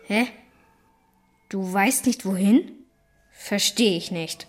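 A woman's voice: mid-range voice, slow pace, faint music behind. A woman voicing a cheeky, bold young boy in an audio story.

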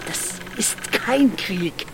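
A man's voice: sits high, animated, extremely slow, over loud music, exaggerated voice. An actor playing a bitter, contemptuous old man who grumbles and mutters scornfully, in a German radio drama. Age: older. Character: bitter, contemptuous old grandfather in a war-torn village.

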